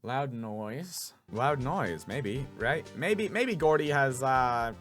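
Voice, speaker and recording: A man's voice: mid-range voice, expressive, takes it slowly, a bit bright, soft background music. A young man, a voice actor, joking offhand while playing through a video game. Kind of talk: let's play commentary.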